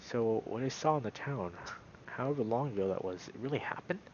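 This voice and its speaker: A man voicing a shaken, woozy male ram character in a visual novel, halting and confused. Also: medium pitch, animated, dull audio, a little hiss or hum, natural voice. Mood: confused, uneasy.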